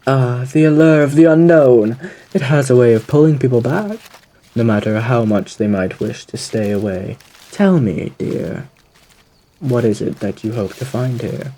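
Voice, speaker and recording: A man voicing a suave, sinister gentleman lurking in the woods, in a theatrical, genteel ASMR roleplay with a husky voice. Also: mid-range voice, lightly acted. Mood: teasing, musing. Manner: theatrical, genteel, drawn-out phrasing with endearments. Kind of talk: ASMR audio roleplay monologue.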